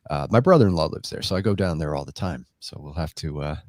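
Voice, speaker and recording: A man's voice: extremely low pitch, lively intonation, fast talker, darkish tone, no room sound. A man hosting a live podcast with a congested, stuffy voice from a head cold, talking in a chatty, rambling way. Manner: chatty, rambling, self-interrupting. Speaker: podcast host. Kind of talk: casual live podcast chat. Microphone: desk microphone on a live stream.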